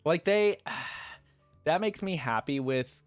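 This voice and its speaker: A man's voice: on the high side, expressive, slow pace, no echo, soft background music. A man hosting a reaction video, reading a story aloud and commenting on it as a casual fan. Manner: casual, slangy asides.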